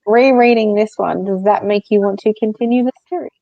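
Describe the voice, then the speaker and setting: A woman's voice: medium pitch, fairly even intonation, fairly quick. A woman co-hosting a book readalong live show in giggly, informal casual chat. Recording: dull, roomy lo-fi sound.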